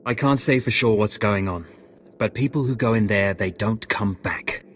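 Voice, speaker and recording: An actor playing an earnest, worried Imperial expedition organizer in a video game, grave and businesslike. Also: male voice, on the low side, moderate pace, natural voice. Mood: grim. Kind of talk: video game cutscene acting.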